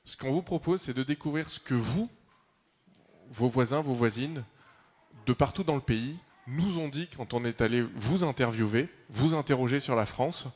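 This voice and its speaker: A man hosting a debate who opens in a relaxed, joking way. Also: mid-range voice, normal speed. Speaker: host.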